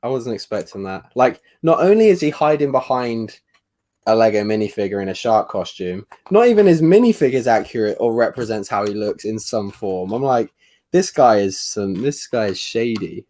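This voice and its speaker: Teasing young man, lively pitch. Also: moderate pace. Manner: teasing, laughs often, dry banter. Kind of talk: casual chat on a live stream.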